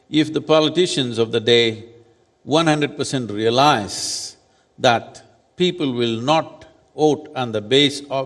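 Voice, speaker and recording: A man in his sixties, seated on stage at a summit, answering questions in a measured, deliberate and firm way. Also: mid-range voice. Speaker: spiritual leader speaking as summit panelist. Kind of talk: onstage panel answer. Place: large conference hall stage. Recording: reverberant conference hall.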